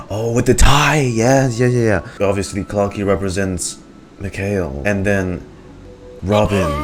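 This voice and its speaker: Man, deepish voice, unhurried, highly animated, slangy blurting commentary, music underneath. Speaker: streamer reacting to a game story. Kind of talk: excited casual reaction commentary, swearing. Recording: game music playing behind the voice. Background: game music and dialogue.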